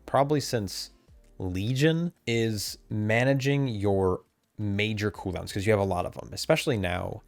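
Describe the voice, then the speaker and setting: Man, lowish voice, losing his voice; casual rambling game commentary, dry close mic. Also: dry recording. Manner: casual, rambling, self-correcting. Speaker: game content creator. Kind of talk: recorded commentary on game changes. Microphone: desk mic, camera off.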